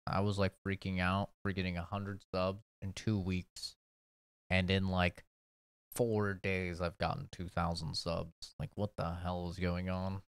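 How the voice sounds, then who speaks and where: A man's voice: sits low, little pitch movement, measured pace, creaky, clear, resonant tone, dry recording. A young man in his twenties chatting casually and poking fun at himself while reacting to an old video of his own. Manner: laid-back, chatty, self-mocking.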